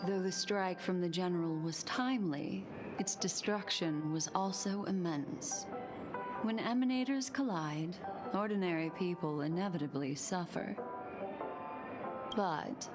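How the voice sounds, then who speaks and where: Woman doing mysterious teasing lady from anime game, slow elegant talk, music behind. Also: medium pitch, close to an everyday voice. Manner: unhurried, playful, elegant. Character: mysterious, poised, teasing adult enchantress.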